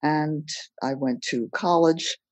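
An older woman born in Belgium, a podcast guest recounting her life story in a measured, plain way with pauses. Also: sits low, no echo.

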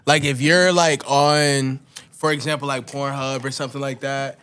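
A man's voice: mid-range voice, flat delivery, moderate pace, fairly bright tone, smooth. Laid-back teasing male co-host. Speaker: podcast co-host. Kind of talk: casual podcast banter. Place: small podcast studio. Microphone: desk mic on stand.

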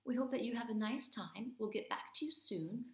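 A woman in her thirties, an English teacher reading aloud to young children, dramatic and deliberate, with hushed stretches. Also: on the high side. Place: small room at home, indoors. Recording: roomy indoor echo.

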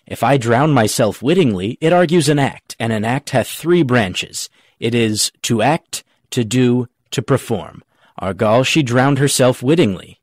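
A man's voice: medium pitch, lively intonation, unhurried, no echo. A man playing a cocky, quibbling rustic gravedigger. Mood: pedantic, argumentative. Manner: pedantic, jokey mock-logical argument. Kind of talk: dramatic audiobook reading of Shakespeare.

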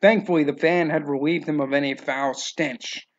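A man's voice: on the high side, steady tone, normal speed. A man giving scripted narration of a novel, in a slightly dull, lo-fi recording.

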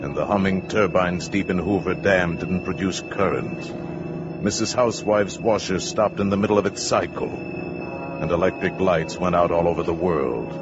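An actor playing a solemn, dramatic narrator in a 1950s radio science fiction play. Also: male voice, sits low, moderate pace, lightly acted. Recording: dull old 1950s radio recording. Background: loud dramatic music.

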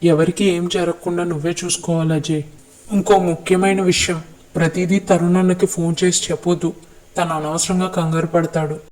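An actor as a stern young politician in custody, protective of those close to him. His voice is high for a man. He talks in a firm, urgent, commanding way, as in a web drama. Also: level tone, a little fast, a little mellow, natural voice, rough recording. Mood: worried, cautioning. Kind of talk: web series drama acting.

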